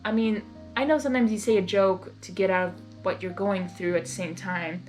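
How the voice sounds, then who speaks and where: A woman reading fan fiction aloud as narrator, expressive and excitable, with casual reactions. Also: medium pitch, brisk, soft background music.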